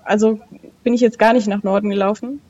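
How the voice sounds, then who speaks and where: A woman's voice: mid-range voice, steady tone, fairly quick, dark tone, dull audio. A woman in casual role-play as a game player, thinking aloud a little tentatively as she decides what to do.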